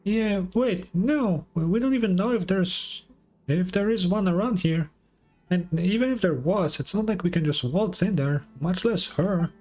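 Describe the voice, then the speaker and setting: A man voicing a small, excitable female fairy companion in a video game, whiny, stammering and easily flustered. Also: high-pitched, a little slow, faint music behind. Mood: anxious. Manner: flustered, stammering, refers to self by name.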